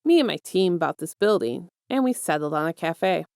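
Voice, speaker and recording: A smug, casual male villain mastermind, acted by a woman, pitched low. He coaxes and teases softly, with affectionate confidence. The story reading sounds dry and close. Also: lively intonation, normal speed, clear, resonant tone, no echo. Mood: businesslike. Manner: teasing, coaxing, soft-spoken, self-assured.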